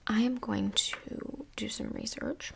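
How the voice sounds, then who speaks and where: A woman playing a kindly, tactful couples' counselor in a soft-spoken ASMR role play, whispery, breathy and gently reassuring, with some hesitation. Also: fairly high pitch, huge pitch swings, takes it slowly, a little hiss or hum, full character voice. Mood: attentive, patient.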